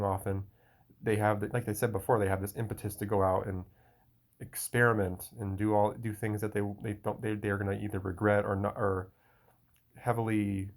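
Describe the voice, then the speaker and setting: A young man talking in a casual solo monologue, rambling and restarting often. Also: fairly low pitch. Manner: rambling, frequent restarts and fillers.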